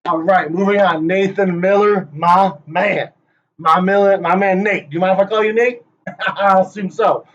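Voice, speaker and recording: A man with a high voice runs through a presentation in a joking, hyped-up way, teasing the people he names. The recording sounds dull and lo-fi. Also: steady tone, normal speed. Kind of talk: rehearsing a playful conference talk.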